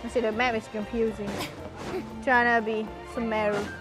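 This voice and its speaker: A woman game streamer giving casual live commentary, playful, teasing and quick to react. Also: a little high, flat delivery. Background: game music.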